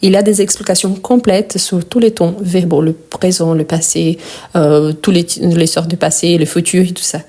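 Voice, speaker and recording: A woman's voice: on the low side, steady tone, normal speed, dark tone. A French teacher whose first language is Portuguese, speaking with a Brazilian accent as she patiently walks through grammar during a live lesson. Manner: patient, repeats and spells out endings.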